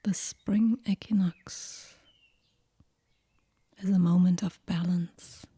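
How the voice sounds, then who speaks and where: Breathy, whispering woman guiding slow meditation. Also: on the low side, steady tone, dry recording. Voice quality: breathy, hushed, near-whisper. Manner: gentle, unhurried, soothing, with long pauses. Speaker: yoga nidra meditation guide. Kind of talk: guided relaxation meditation, soft and whispery.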